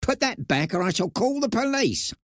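A tiny, squeaky, scolding mouse. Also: male voice, very animated, slow, dry recording, studio quality, heavily acted. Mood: sharp scolding. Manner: sharp, scolding. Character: tiny, bossy, accusing larder mouse.

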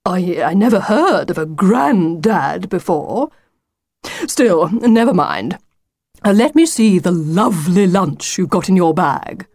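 A woman's voice: on the low side, lively intonation, slow pace, darkish tone, slightly rough, no echo, studio quality, exaggerated voice. A woman voicing a pompous, insistent male storybook wolf with a grandiose, wheedling, theatrical manner. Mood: indignant, puzzled. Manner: grandiose, emphatic, wheedling old-fashioned phrasing.

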